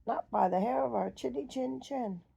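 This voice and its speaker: Woman playing a defiant, high-voiced little pig. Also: normal speed, creaky, dark tone, breathy edge, dull audio, full character voice. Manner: sing-song defiance. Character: little storybook pig, defiant and squeaky, refusing entry.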